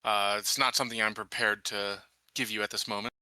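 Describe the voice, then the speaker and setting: A man takes part in a tabletop roleplaying session as a player. His voice is very bright and sharp, with measured, formal phrasing, on a dry close recording. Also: lowish voice, fairly even intonation, no room sound. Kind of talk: in-character roleplay and table talk.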